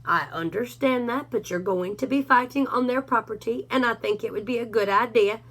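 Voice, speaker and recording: A woman's voice: fairly high pitch, moderate pace, fairly bright tone. Woman reading as a wry, sly ranch cowdog. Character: self-important male cowdog, wisecracking and sly.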